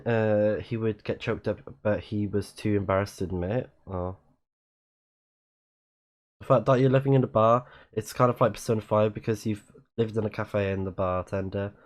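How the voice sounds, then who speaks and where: A man with a fairly low voice hosts a casual game livestream. He chats in loose, rambling asides as he plays, sounding slightly blocked up in the nose. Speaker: live-streaming let's play host. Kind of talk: casual game commentary, reading in-game messages aloud.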